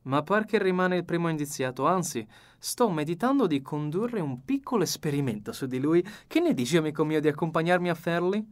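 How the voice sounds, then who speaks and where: A man's voice: fairly high pitch, animated, brisk, pitched above the performer's own voice, close to an everyday voice. A man voicing a fussy, methodical little foreign detective in an audiobook, with theatrical courtesy and precision. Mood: confiding, keen. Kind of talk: audiobook character reading.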